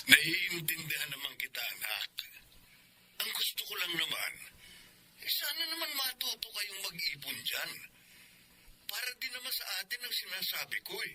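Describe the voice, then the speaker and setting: A man's voice: high voice, sing-song, moderate pace, pushed and bright, slight background noise, heavily acted, poor audio. A man playing a thrifty, stern but caring father in a Filipino radio drama. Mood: firm, advising.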